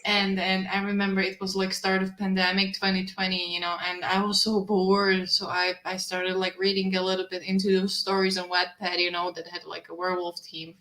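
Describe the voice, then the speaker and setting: A woman's voice: mid-range voice, monotone, brisk, hardly any pauses, a bit bright. A woman whose first language is not English, a comic creator chatting as an interview guest, with many restarts and fillers. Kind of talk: casual interview chat. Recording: roomy echo.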